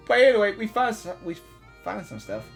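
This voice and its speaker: A woman's voice: a little high, fairly bright tone, breathy edge. A woman giving casual reaction commentary on a video game as she plays, often repeating her phrases. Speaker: reaction streamer.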